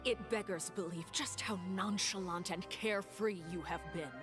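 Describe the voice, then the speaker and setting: Actress as a coolly menacing diplomat, music behind. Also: female voice, mid-range voice, lightly acted. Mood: stern, reproachful. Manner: deliberate, mocking, formally polite. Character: poised, menacing, sharp-tongued female diplomat confronting a god. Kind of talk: scripted video game dialogue. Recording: background music under the voice.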